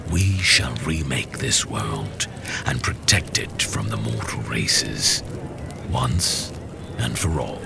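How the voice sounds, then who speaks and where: A very deep, gravelly villainous warlord. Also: male voice, expressive, music in the background, heavily acted. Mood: scheming, menacing. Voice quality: gravelly, whispery growl. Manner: slow, grandiose. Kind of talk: fantasy audio drama acting.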